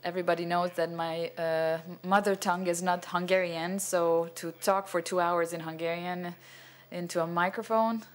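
Older man, halting lecture, fillers, stand mic on a cinema stage. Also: sits high, level tone. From Venezuela. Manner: hesitant, many fillers and restarts, gestures broadly. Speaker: cinematographer giving a masterclass. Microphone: stand microphone.

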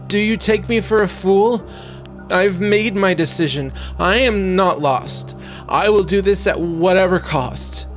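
A man's voice: high voice, measured pace, soft background music, voice pushed up. A man voicing a cruel, scornful old sea witch, snappish and imperious, in a dramatic storybook reading. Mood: agitated anger.

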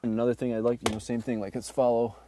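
A man in his thirties, an energy auditor, explaining an on-site home inspection in a relaxed way with many fillers, recorded in a house basement. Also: medium pitch, rapid-fire, a little hiss or hum. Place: basement crawl space and attic of a house.

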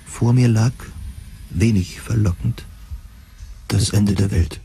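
A man narrating a first-person science fiction audio drama in measured, deliberate, literary phrasing. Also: lowish voice, gravelly, mellow, slight background noise.

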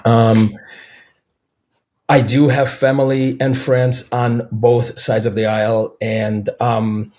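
A man in his forties from Belarus, a native Russian speaker with a Belarusian accent, answering interview questions over a video call in a measured, thoughtful way. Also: medium pitch, little pitch movement, darkish tone. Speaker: film director guest. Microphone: video call, webcam.

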